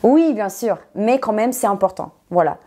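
Mellow-voiced teacher, woman. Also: mid-range voice, animated, moderate pace. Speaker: non-native French teacher, interview guest. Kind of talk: conversational explanation in an interview.

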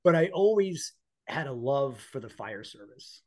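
Male, 40s, American accent, mid-pitched voice; conversational interview guest sharing personal stories. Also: sing-song, a little slow, a little mellow. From northeastern United States. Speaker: podcast guest, police officer and former EMT.

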